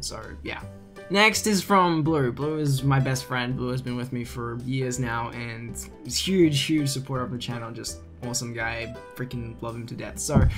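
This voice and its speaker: A young man from Queensland with an Australian accent, answering viewer questions in a chatty, relaxed gaming vlog full of fillers. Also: mid-range voice. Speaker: gaming YouTuber. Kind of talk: casual Q&A vlog. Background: faint guitar music.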